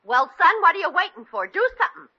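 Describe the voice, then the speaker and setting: A woman's voice: extremely high pitch, very animated, quick pace, slight background noise. An actress playing a tough, threatening old gangster mother in a 1940s radio comedy. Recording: dull old radio broadcast recording.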